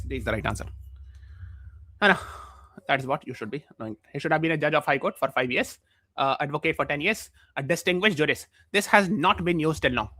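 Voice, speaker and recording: A feverish man with an Indian accent teaches a live online exam-prep class. His voice is fairly high and bright, recorded dry. He explains by repeating key points and tossing questions to the learners. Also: no room sound. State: mildly feverish.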